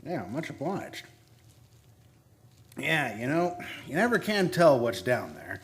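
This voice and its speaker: A man's voice: fairly high pitch, very animated, takes it slowly, full character voice. An American man playing a skinny, nervous, sheepish oil field geologist in a radio play, speaking hesitantly with stammers. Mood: musing, casual.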